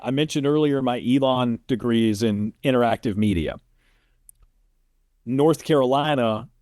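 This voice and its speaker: A man's voice: medium pitch, darkish tone, no room sound. American man chatting, dry close sound. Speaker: interview guest, sports play-by-play announcer. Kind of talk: casual interview talk.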